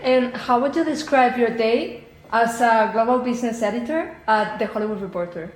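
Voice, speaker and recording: Woman asking interview questions, bit high voice, roomy echo. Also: moderate pace. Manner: frequent short backchannel agreement. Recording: echoey room.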